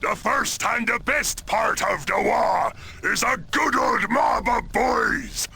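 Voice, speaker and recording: Brash game ork boss, slow and bellowing, pitched high with a rough growl. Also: male voice, sharp tone, a little hiss or hum, exaggerated voice. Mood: boisterous bluster. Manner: boisterous, bellowing, gleefully thuggish. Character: brash, brawling male ork pirate captain. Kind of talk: cartoonish video game acting.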